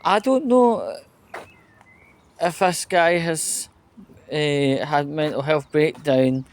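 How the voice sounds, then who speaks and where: A man's voice: fairly high pitch, slow pace. A Scottish man from Scotland talking casually to camera in a rambling way, with fillers and restarts, as he walks through a train station and into a lift. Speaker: vlogger. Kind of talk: casual walking monologue.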